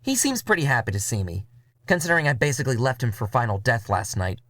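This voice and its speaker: A man narrating a first-person noir audio drama in a wry, cynical voice with drawn-out phrasing. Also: mid-range voice, very animated, fairly bright tone, no room sound. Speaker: narrator and writer. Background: music.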